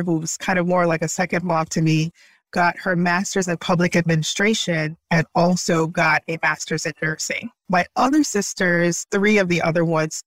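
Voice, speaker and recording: A woman's voice: lowish voice, no echo. A woman from Brooklyn whose first language is Haitian Creole, speaking earnestly and reflectively as an interview guest, often laughing lightly. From Brooklyn, New York. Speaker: startup founder, guest. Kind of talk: conversational interview.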